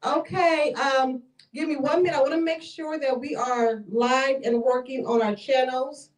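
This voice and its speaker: High-voiced upbeat woman host. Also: steady tone, unhurried, rough recording. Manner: upbeat, chatty, laughs often. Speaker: bookshop event host. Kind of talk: live-streamed author interview. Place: bookshop in front of a small audience. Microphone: handheld microphone.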